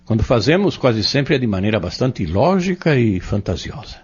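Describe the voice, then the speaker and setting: A man playing a patient, earnest Spiritist teacher in an audio drama, explaining step by step in a measured, didactic way. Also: mid-range voice, sing-song, breathy edge. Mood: patient, instructive. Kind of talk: scripted audio drama dialogue. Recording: dull lo-fi audio.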